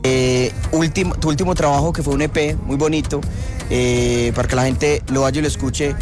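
A man's voice: mid-range voice, steady tone, normal speed, over music. A man with a Colombian accent hosting a casual radio interview and chatting informally. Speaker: radio host.

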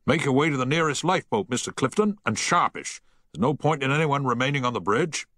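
A commanding sea captain, put on by a male narrator, bellowing orders in a raised, lively voice. He barks each command over a clear, dry recording. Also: medium pitch, fairly quick, no echo, voice pushed up. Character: commanding male ship captain under fire.

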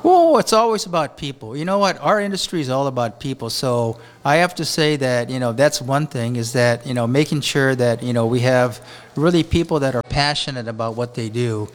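Rambling chef from Japan. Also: male voice, medium pitch, expressive. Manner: rambling, halting, many restarts. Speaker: chef and restaurant owner. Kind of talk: casual interview talk. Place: hotel on a beach in Honolulu.

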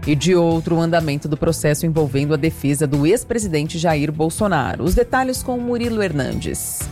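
A woman's voice: sits low, animated, normal speed, mellow, soft background music. A woman radio news anchor with a slightly nasal, congested voice, reading morning headlines and weather with brief chatty asides.

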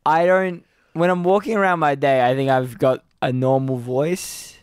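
A man's voice: a little high, fairly even intonation, normal speed, clean tone, no echo, clean studio sound. A man co-hosting a radio show, chatting casually in a rambling, self-deprecating way. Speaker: radio co-host and stand-up comedian.

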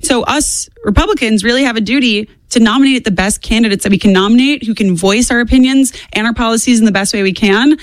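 A woman's voice: on the high side, fast talker, hardly any pauses, no room sound, rough recording. A woman in her twenties giving opinionated political commentary as a guest, speaking emphatically and repeating points for stress. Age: 20s.

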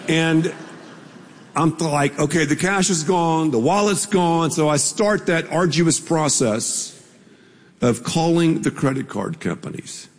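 A man's voice: fairly high pitch, unhurried. A man preaching a sermon as pastor, telling a story in a conversational, playful way with asides to the congregation. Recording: long reverb of a large hall.

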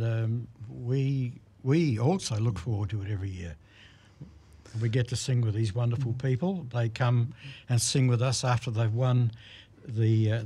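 A man's voice: medium pitch, mellow, slightly rough. A man speaking for a choir as a radio guest, hesitant and full of ums and restarts. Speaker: choir spokesman.

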